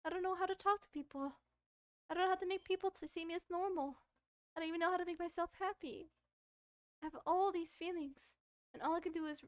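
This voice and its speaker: A woman's voice: very high-pitched, no echo, lo-fi sound, voice pushed up. A woman voicing a shy, bookish, self-doubting schoolgirl from a visual novel, hesitant and earnest. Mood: upset.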